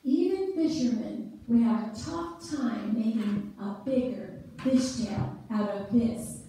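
A woman reads scripted narration at a slow pace from a stage. She is far from the microphone in a very echoey church. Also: fairly high pitch, mellow, slight background noise. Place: church sanctuary stage, indoors. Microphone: distant audience camera.

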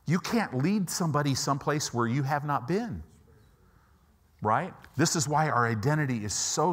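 A man's voice: medium pitch, a bit bright. An American man in his fifties preaching a conversational sermon as a pastor, emphatic and repeating phrases to stress his points. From United States, Chicago and Southern California. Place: church auditorium stage, indoors. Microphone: lapel mic.

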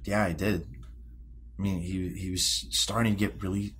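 An actor playing a defensive, moody sixteen-year-old American high school boy in an audio drama, halting and slangy. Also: steady tone, fairly bright tone, husky. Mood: reluctant, grumbling. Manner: halting, repeating words, slangy teenage speech. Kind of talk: naturalistic audio drama dialogue.

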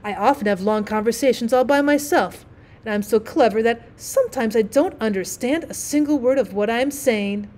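A woman's voice: on the high side, normal speed, a little mellow, natural voice. A woman voicing a vain male firework rocket in a storybook, with a haughty, boastful, declamatory manner.

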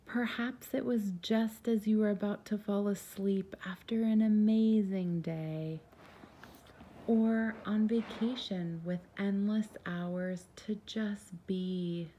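Slow, mellow woman guiding meditation. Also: medium pitch, fairly even intonation, slight background noise. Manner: soothing, gentle, unhurried. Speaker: meditation guide. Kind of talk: guided meditation narration.

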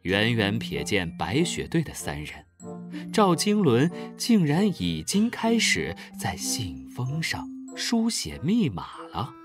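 A man's voice: mid-range voice, wildly swooping pitch, slow pace, over music. A man narrating a scripted children's story expressively.

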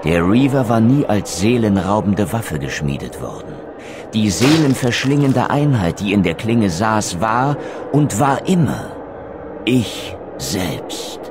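An actor playing a grim, vengeful undead wraith in a game, solemn and deliberate. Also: male voice, fairly low pitch, big pitch swings, noisy background. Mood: anguished revelation. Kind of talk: dramatic German game narration and dialogue. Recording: music behind.

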